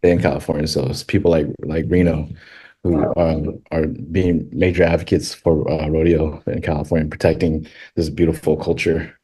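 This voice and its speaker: A deep-voiced man from Thailand talks as a podcast guest, his tone low and mellow. He speaks haltingly, full of fillers and restarts, in a relaxed interview. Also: husky, poor audio. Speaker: podcast guest, western filmmaker.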